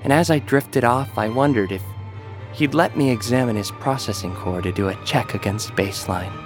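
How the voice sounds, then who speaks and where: A man narrating a first-person science-fiction audio drama in a dry, deadpan manner. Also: medium pitch, moderate pace, over music.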